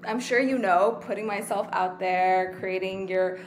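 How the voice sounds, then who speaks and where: Relaxed young actor from Taiwan. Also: female voice, mid-range voice, measured pace. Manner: relaxed, rambling with many fillers. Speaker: guest actor. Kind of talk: casual interview chat.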